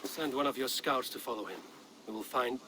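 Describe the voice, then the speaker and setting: A man playing a stern, commanding Viking war leader in a game, measured and authoritative. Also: medium pitch, very noisy, lightly acted. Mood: stern, angry. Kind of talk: dramatic game acting.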